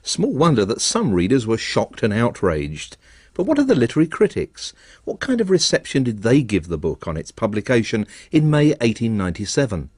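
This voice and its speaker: A man presenting a radio arts documentary with measured, articulate, scripted narration. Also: medium pitch, huge pitch swings, darkish tone, slightly rough, no echo.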